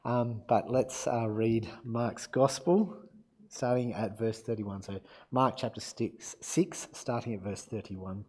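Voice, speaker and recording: A man preaching a sermon and reading scripture aloud, his voice croaky from being unwell, relaxed and self-deprecating. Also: lowish voice, slow pace. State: croaky from illness.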